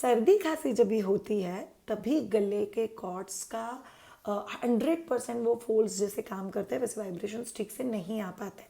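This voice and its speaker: A woman hosts a parenting channel and talks parents through baby care in a chatty explainer style. Her voice sits fairly high but has a gravelly, fry-tinged edge and a mellow, dark tone. She keeps posing her viewers' questions aloud and answering them. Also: normal speed. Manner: chatty, mixes English words into Hindi, answers her own questions.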